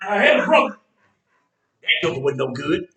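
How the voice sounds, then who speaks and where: An actor playing a boastful, teasing older American barbershop owner and former boxer, talking in an animated, folksy way and repeating himself for emphasis. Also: male voice, a little high, moderate pace, gravelly, full character voice. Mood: reminiscing. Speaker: stage actor. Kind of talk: stage play dialogue. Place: indoor theatre stage, picked up from afar. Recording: echoey stage hall, distant microphone.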